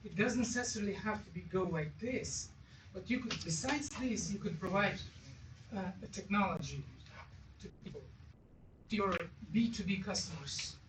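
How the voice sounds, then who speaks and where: An audience member offers feedback haltingly, circling back and pressing the point. The voice comes from off the microphone in an echoey space. Clear noise sits behind it. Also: mid-range voice. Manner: halting, repetitive, insistent. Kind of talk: spoken feedback at a business meeting. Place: event room with audience. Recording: distant mic, echoey room, clear noise.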